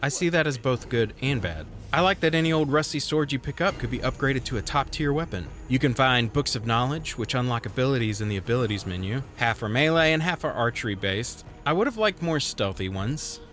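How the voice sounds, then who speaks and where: A man's voice: medium pitch, lively intonation, brisk, hardly any pauses, fairly bright tone, faint music behind. A man reading a scripted video game review voice-over in a wry, conversational way, with self-deprecating asides.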